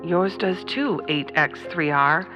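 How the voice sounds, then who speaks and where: Actress as a forceful woman astronomer in hiding. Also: on the low side, slow. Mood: dry. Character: weary, proud woman astronomer hiding from invaders. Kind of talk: melodramatic comedic radio drama acting. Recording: dull, echoing radio-play sound with music.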